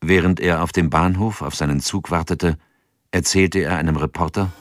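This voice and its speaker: An actor as a sober chronicler, narrating a documentary radio drama from a script. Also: male voice, low-pitched, steady tone, moderate pace, no echo, clean studio sound, close to an everyday voice. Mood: sober, factual. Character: sober male chronicler of a crime case.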